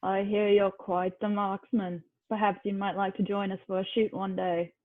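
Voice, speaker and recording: A woman's voice: medium pitch, flat delivery, normal speed, lightly acted. A woman playing a smug, oily village businessman in a script table read, suave and insinuating, over a tinny connection. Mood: smug, charming.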